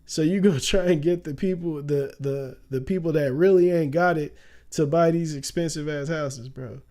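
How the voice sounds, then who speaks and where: Laid-back slangy man, mellow voice. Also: on the high side, normal speed. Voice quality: raspy at times. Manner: loose, slangy, laughs often, says "bro" a lot. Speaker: streamer. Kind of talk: casual livestream commentary.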